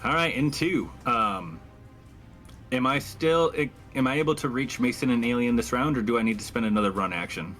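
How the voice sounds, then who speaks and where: A man's voice: mid-range voice, moderate pace, a bit bright, soft background music. A man talking casually as a tabletop game player, politely explaining his moves.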